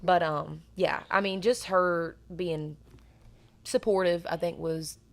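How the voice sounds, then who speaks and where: A young woman with a naturally raspy voice, telling stories in an earnest, rambling way as a singer being interviewed. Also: on the low side, steady tone, takes it slowly. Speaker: guest singer. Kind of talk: casual interview chat.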